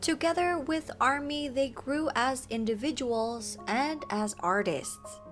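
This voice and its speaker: A woman reads a scripted narration in an even, informative manner. Her voice is slightly high, and clear music plays underneath the whole read. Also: takes it slowly. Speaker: documentary narrator. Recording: narration over loud background music.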